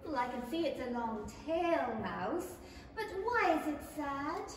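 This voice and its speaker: A woman's voice: sits high, sing-song, measured pace. A woman playing a polite, bewildered little girl in a 1930s-style radio play. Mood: apologetic. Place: theatre stage, reading from script. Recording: echoey hall, distant, faint noise.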